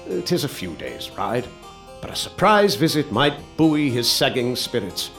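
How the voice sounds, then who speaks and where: A polite young war veteran, stammering and courteous, his voice rising and falling in lively swings. Soft music sits faintly under him. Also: medium pitch, a little slow. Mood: narrating. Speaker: narrator and lead character. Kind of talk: radio drama acting.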